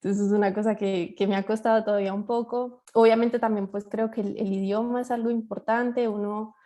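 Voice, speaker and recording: Mellow-voiced young woman, level delivery. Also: medium pitch, level tone, brisk. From Colombia. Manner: explanatory, with many fillers and tag questions. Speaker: panel guest, master's student. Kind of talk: casual panel talk.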